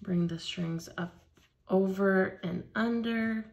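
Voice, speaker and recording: A woman leading a hands-on beading tutorial at a craft table, explaining each step slowly and patiently. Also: medium pitch, vocal fry, a little mellow, dull audio. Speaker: craft instructor.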